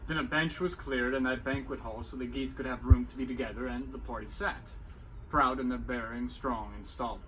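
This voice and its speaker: A man's voice: medium pitch, fairly even intonation, a little fast, a bit bright, voice pushed down, lightly acted. A man playing an old bard, narrating heroic tales in a grand, theatrical storytelling manner. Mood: grand narration. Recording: echoey room, clear background noise.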